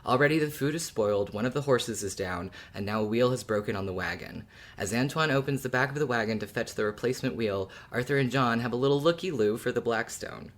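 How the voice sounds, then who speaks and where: A podcast speaker in a casual fan discussion, talking in an animated, colloquial way. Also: mid-range voice, fast. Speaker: podcast co-host or guest.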